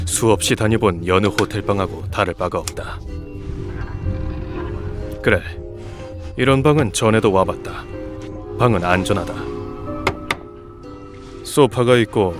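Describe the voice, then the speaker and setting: A man's voice: lowish voice, natural voice. A man in an amateur film dub, playing a cynical hotel guest with a sardonic, easily angered manner. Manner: sardonic, muttering observations, explosive when angry. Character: cynical male hotel guest, dryly observant, easily enraged. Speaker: amateur voice actor. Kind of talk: fan-dub film acting.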